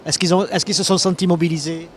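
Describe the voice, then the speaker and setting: A man's voice: high voice, steady tone, fast talker, slight background noise. A man roaming a trade fair, asking stand holders questions in a chatty, joking way with many restarts. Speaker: fair reporter. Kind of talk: roving interviews at a trade fair.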